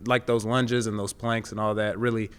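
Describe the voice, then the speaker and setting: A man in his twenties, a pro athlete answering interview questions in a halting, conversational way with repeated words. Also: fairly low pitch, little pitch movement, clear, resonant tone. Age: 20s. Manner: halting, with repeated words and frequent "you know". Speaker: professional football player being interviewed.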